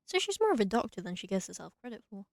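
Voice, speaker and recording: A woman's voice: mid-range voice, big pitch swings, racing, gravelly. A woman giving casual, wry, joking commentary as she plays through a video game. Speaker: let's player. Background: game music.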